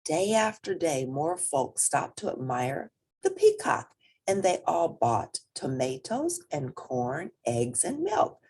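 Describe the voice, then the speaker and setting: A woman reading a children's picture book aloud as an expressive storyteller. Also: sits low, slow pace, breathy edge.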